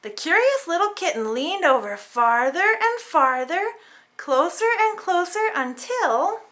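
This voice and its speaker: A woman reading a children's storybook aloud in an animated, dramatic way for small children. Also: extremely high pitch, a little slow. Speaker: storybook reader. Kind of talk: expressive children's read-aloud narration.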